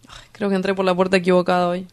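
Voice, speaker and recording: A woman's voice: on the low side, completely flat delivery, fast, lightly acted. A woman with an Argentine accent playing a crude, cynical young male street poet in a radio drama, blunt and teasing.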